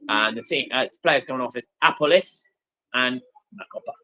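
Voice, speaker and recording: Bright-voiced guy chatting casually. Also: mid-range voice, moderate pace, lo-fi sound. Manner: laid-back, rambling, with many fillers. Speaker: streamer hosting a watchalong. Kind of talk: casual chat with loose match commentary.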